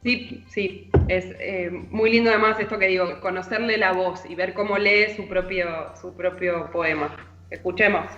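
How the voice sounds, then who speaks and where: A woman's voice: on the low side, steady tone, moderate pace, fairly bright tone, soft background music. A woman with an Argentine accent giving a chatty, informal radio column full of anecdotes as a literary columnist. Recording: echoey, slightly dull remote audio.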